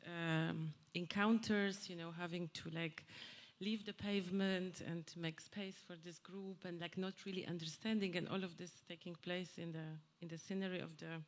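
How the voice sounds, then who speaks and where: A woman asking a question at a public event, speaking haltingly with many fillers and restarts. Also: fairly low pitch, fairly even intonation. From Poland. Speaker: audience member asking a question. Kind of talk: hesitant public remarks. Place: theatre hall at a public event.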